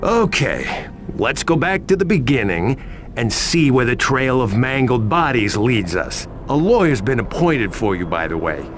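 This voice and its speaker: An actor playing a sardonic police detective in a video game, questioning a suspect with casual, wry sarcasm. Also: male voice, medium pitch, big pitch swings, a little slow, faint music behind. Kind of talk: video game cutscene acting.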